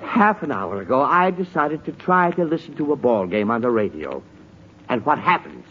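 A man's voice: mid-range voice, sing-song, moderate pace, lightly acted. Man playing exasperated, blustering radio-comedy dad. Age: middle-aged. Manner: flustered, stammering, indignant. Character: exasperated, blustering suburban father. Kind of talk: 1940s radio comedy acting. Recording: muffled, noisy 1940s radio recording.

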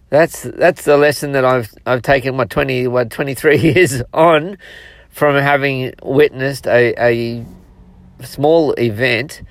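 A middle-aged man hosting a solo podcast, talking reflectively and conversationally, with halting pauses and repeated words as he draws out a lesson. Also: medium pitch, gravelly. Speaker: podcast host.

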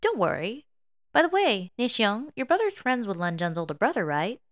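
A woman acts out a flustered young man in an audio drama, pitched high and very animated. He talks in a breezy, joking way, forcing a casual air over his nerves. The sound is close and dry. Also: normal speed, dry recording. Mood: breezy, covering nerves. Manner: breezy, jokey, forced casualness.

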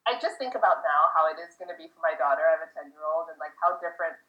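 Woman, 40s, from the US, casual guest, rambly, lower voice, echoey dull recording. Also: very fast. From United States. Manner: chatty, rambling with many fillers. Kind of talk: casual interview answers.

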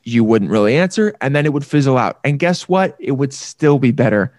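A man's voice: mid-range voice, expressive, a little fast, a little mellow, no room sound, studio quality. A man hosting a podcast in casual, jokey chat, slipping into little acted voices. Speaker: podcast host.

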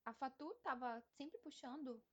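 A woman co-hosting a casual fan podcast, quick and animated as she leads the topics. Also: sits high. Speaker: podcast co-host. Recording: muffled lo-fi audio.

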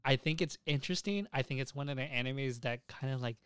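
A man with a mid-pitched voice talks like a podcast host in casual conversation. He retells things in an animated, informal way and swears freely. The sound is dry, with very little room on it. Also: quick pace, smooth, no echo. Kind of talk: casual chat about anime and visual novels.

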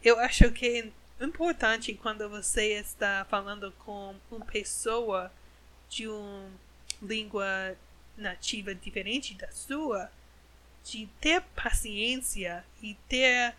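A woman, an English native speaker, hosting a podcast in Portuguese, speaking slowly and carefully as a learner and correcting herself. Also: mid-range voice, sharp tone, poor audio. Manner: slow, careful learner's speech with self-corrections. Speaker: podcast host. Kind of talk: casual podcast monologue.

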